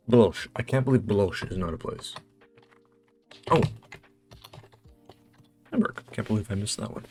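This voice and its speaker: A North American man with a fairly low voice hosts a casual quiz playthrough. He thinks out loud, often hesitating and muttering to himself. Light music plays behind him. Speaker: host playing a geography quiz. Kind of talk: casual game commentary, thinking aloud.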